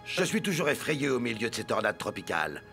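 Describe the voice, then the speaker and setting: An actor playing a boastful daredevil cartoon weatherman, gravelly and dramatic, with music behind. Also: medium pitch, very animated, quick pace. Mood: confiding. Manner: showy, dramatic, self-assured. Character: daredevil TV weatherman, boastful showy male legend. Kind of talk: animated cartoon dub acting.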